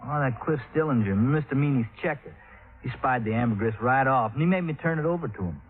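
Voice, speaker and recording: A man's voice: mid-range voice, fast, lightly acted. Man acting folksy young fisherman, tinny old radio. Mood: deferential. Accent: American. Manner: folksy, deferential. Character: polite, plain-spoken young fisherman, defensive country lad. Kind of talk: 1950s radio drama acting. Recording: phone-like vintage radio recording.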